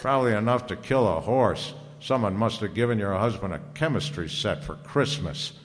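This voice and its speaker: A man's voice: on the low side, normal speed, long reverb tail, soft background music, lightly acted. A man playing a dry-humored, commanding tough-guy detective in an old-time radio drama. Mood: dry sarcasm. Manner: deadpan, commanding.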